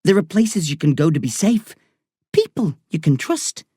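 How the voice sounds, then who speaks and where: A reader voicing a blunt but kind woman doctor with an Irish accent, earthy and warm in her talk. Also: medium pitch, very animated, normal speed, slightly rough, no room sound, clean studio sound, voice pushed up. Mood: earnest. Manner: salty, earthy talk said with warmth. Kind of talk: audiobook character reading.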